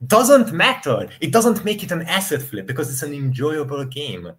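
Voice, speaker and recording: A man's voice: fairly high pitch, animated, normal speed, a bit bright. Emphatic man explaining on a panel. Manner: emphatic, explanatory, repeats key points. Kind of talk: opinionated live panel discussion about gaming.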